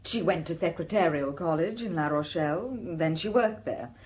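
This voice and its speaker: A worldly French woman around forty with a low voice. She talks bluntly and sardonically, with little sentiment, in a radio drama scene that has some background noise. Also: lively intonation, moderate pace, a little mellow. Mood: frank, reminiscing. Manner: sardonic, unsentimental, forthright.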